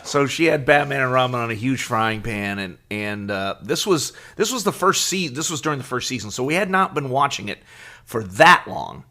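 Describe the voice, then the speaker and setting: A middle-aged man co-hosting a podcast, telling casual anecdotes in a halting, conversational way. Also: mid-range voice, animated, bright, forceful tone. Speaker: podcast co-host.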